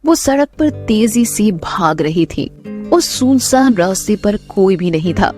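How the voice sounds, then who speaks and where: A woman's voice: medium pitch, animated, takes it slowly, warm tone, soft background music. A woman narrating a scripted romantic drama story as the storyteller.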